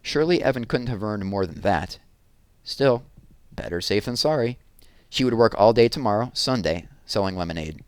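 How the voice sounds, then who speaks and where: A man with a fairly low voice narrates a children's book in a read-aloud style. He works as a storyteller, and the sound is dry with little room in it. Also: animated, moderate pace, clean tone, no echo.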